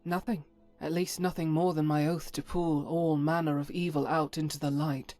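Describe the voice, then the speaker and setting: Zealous woman cleric for fantasy game, acted, low for a woman, formal, unyielding, faint music. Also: little pitch movement, normal speed, dark tone, close to an everyday voice. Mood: righteous. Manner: solemn, formal, righteous. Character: zealous, stern female cleric, devout and unyielding. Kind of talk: video game voice acting.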